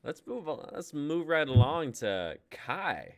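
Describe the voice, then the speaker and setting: A man's voice: on the high side, big pitch swings, slow, creaky. A young adult man hosting a group reading, chatting in an informal, sweary way, with keyboard clatter on his mic. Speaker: host moving the readings along. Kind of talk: casual chat. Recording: noisy mic, keyboard typing and clicks.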